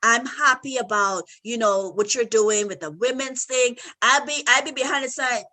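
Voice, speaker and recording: A woman's voice: on the high side, moderate pace, bright, forceful tone. A woman marriage coach in a faith-based conversation, emphatic and encouraging, repeating her phrases.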